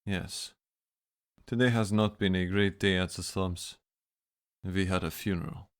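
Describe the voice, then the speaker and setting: Man acting a grave, very low-voiced fatalist. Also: fairly even intonation, measured pace. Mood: measured, guarded. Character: grave, fatalistic man of 1888 London slums. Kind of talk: radio drama acting.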